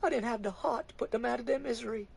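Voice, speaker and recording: A man voicing a frightened, grieving older church woman, high and breaking with tears. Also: quick pace, gravelly, slightly rough, exaggerated voice. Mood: tearful.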